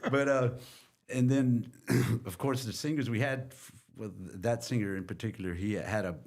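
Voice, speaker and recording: An older man from Texas, a guest musician, telling long rambling stories in a relaxed chat. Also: fairly low pitch, moderate pace, creaky. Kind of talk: casual interview storytelling.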